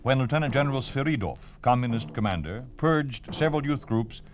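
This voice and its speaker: A man's voice: medium pitch, expressive, a little fast, highs cut off, slight background noise. An American man announcing in 1950s radio style, reading a scripted narration in a solemn, formal, emphatic way. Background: music.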